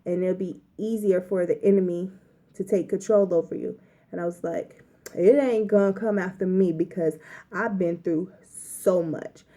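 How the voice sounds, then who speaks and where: Low, mellow-voiced young woman telling a story. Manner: chatty, lots of "like" fillers, rambling retelling. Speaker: vlogger. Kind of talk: casual storytelling to camera.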